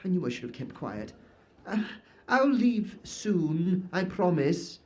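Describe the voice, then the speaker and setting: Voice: medium pitch, sing-song, slow. A performer voicing a fussy, pompous wizard who has turned himself into an armoire, stammering when flustered. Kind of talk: audio drama acting.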